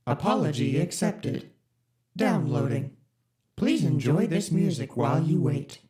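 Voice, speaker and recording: Deadpan corporate ship AI. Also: mid-range voice, measured pace. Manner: flat, polite, bureaucratic. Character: deadpan corporate spaceship computer AI. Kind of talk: audio drama.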